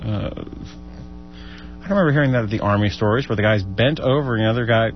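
A man's voice: fairly low pitch, fast, highs cut off, soft background music. A man co-hosting a radio show in casual comic banter, with a wry, teasing, wisecracking manner. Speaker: radio co-host.

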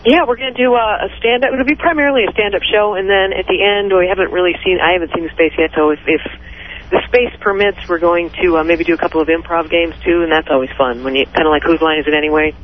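A woman's voice: fairly low pitch, fast, lo-fi sound. A woman with a Midwestern accent, a stand-up comedian chatting as a radio guest, laughing often and self-deprecating. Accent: Midwestern American. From Detroit, Michigan. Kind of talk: casual radio interview chat.

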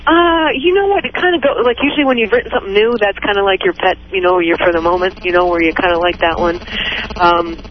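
A woman's voice: mid-range voice, quick pace, muffled recording. A woman with a Midwestern accent, a stand-up comedian chatting as a radio guest, laughing often and self-deprecating. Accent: Midwestern American. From Detroit, Michigan. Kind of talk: casual radio interview chat.

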